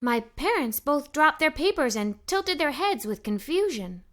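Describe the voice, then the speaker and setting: A woman reading a children's story aloud in an expressive, enthusiastic way, as an adventurous young girl telling her own tale. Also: high-pitched, a little slow. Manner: animated, enthusiastic. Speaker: storybook narrator.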